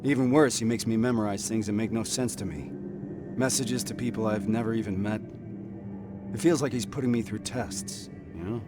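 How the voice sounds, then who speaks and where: An actor playing a weary, disillusioned military squad commander in a video game, blunt and reflective. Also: male voice, lowish voice, steady tone, moderate pace, lightly acted. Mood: brooding, uneasy. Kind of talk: video game cinematic acting. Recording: loud music behind the voice.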